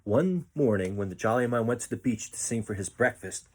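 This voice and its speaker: A man reading a children's picture book aloud as a storyteller, with expressive, deliberate phrasing. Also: lowish voice, slight background noise.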